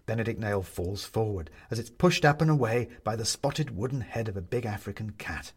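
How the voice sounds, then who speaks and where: A man's voice: lowish voice, lively intonation, a little fast, breathy edge. A man narrating a story dramatically from a script, as in an audiobook.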